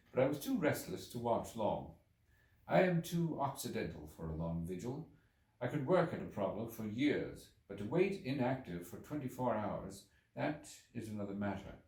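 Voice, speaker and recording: A man reading a literary story aloud as an audiobook, with theatrical, expressive delivery. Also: fairly low pitch. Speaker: audiobook reader. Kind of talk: dramatic literary audiobook narration. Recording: roomy echo, distant microphone.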